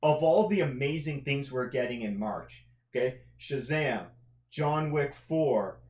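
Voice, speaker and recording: A man's voice: medium pitch, measured pace, darkish tone. Enthusiastic Canadian man in an echoey room. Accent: Central Canadian. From Canada, outside the Maritimes. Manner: enthusiastic, bantering. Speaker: podcast co-host reviewing films and TV. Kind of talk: casual conversational review chat.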